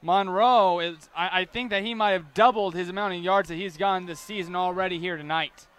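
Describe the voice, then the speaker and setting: High-pitched young man calling play-by-play. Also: fairly even intonation, brisk, a bit bright, clean tone. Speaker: play-by-play sports announcer. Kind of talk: live sports play-by-play commentary.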